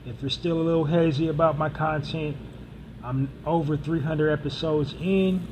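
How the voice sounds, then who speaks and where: Rambling podcast host with traffic noise. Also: male voice, on the high side, steady tone, normal speed. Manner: rambling, casual, many fillers and restarts. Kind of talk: solo podcast monologue.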